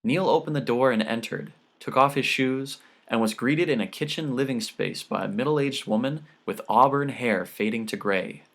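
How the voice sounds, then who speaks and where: Male audiobook narrator, medium pitch, scripted short-story reading. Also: normal speed, few pauses, fairly bright tone.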